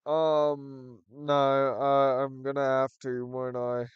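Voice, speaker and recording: A sick man whose voice is starting to go talks slowly and hesitantly, rambling as he thinks aloud. His tone stays smooth and clear despite this. The recording is dry and a little dull. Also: mid-range voice, flat delivery, no echo. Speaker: gaming channel host. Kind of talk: casual chat to camera.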